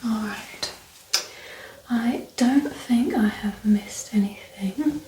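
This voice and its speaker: A woman speaking softly and gently, often near a whisper, as a doctor in an ASMR medical exam roleplay. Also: fairly high pitch, slow. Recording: roomy echo, distant mic, clear background noise.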